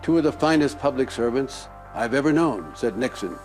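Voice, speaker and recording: A man's voice: mid-range voice, moderate pace, a little mellow, faint music behind. A man who was a news correspondent, speaking in a documentary interview with dry, wry asides.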